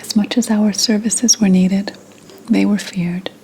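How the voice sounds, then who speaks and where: A woman playing a proud, secretive 17th-century witch healer, whispering slowly and formally in an ASMR roleplay. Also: mid-range voice, fairly even intonation, a little hiss or hum, close to an everyday voice. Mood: solemn, wounded pride. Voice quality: breathy unvoiced whisper. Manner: deliberate, hushed, archly formal phrasing.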